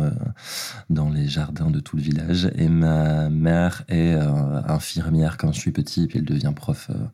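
A man's voice: extremely low pitch, dark tone. A man from Belgium, a drag artist talking as a guest, hesitant with many fillers and easy laughs. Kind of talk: casual interview talk.

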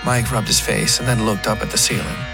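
A man's voice: lowish voice, little pitch movement, brisk, vocal fry. A man reading scripted first-person fiction as the narrator of a horror podcast. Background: guitar music.

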